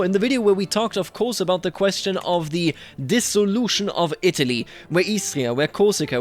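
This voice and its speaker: A man hosting a video game roleplay series, giving casual commentary and thinking aloud conversationally as he plays. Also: fairly high pitch. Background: background music.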